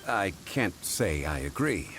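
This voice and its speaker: Deep, composed gentleman. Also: very animated, takes it slowly, noisy background, full character voice. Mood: measured disagreement. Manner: formal, composed, refined. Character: calm, courteous, erudite gentleman, composed and measured. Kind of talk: English video game voice acting.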